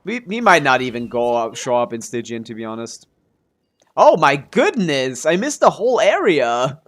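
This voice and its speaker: A man's voice: mid-range voice, sing-song, moderate pace, fairly bright tone. A male streamer with a mid-range voice talks casually over his gameplay. He chats as if replying to viewers, often trailing off or hedging as he works through a thought. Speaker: video game streamer. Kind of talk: casual livestream gaming commentary and chat.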